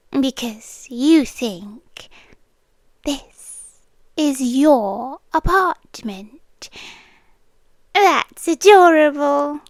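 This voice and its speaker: A woman's voice: sits high, no room sound, heavily acted. A British woman playing a possessive, doting yandere landlady girlfriend in an ASMR roleplay, cooing intimately and slipping into whispers close to the mic. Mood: sweetly menacing. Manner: cooing, breathy asides that drop into whispers. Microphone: close, dry microphone.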